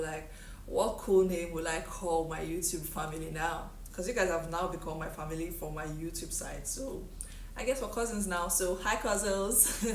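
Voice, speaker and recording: A woman's voice: lowish voice, roomy sound, recorded from a distance, slight background noise, rough recording. A young Nigerian woman vlogger answering viewer questions in a breezy, chatty way, full of "like" and "okay?". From Delta State, Nigeria. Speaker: lifestyle vlogger. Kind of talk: casual get-to-know-me Q&A.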